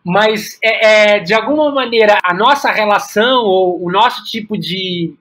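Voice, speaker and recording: A man with a Brazilian accent speaking reflectively as an interview guest, halting and repeating words as he thinks. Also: high voice, fairly bright tone. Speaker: guest playwright.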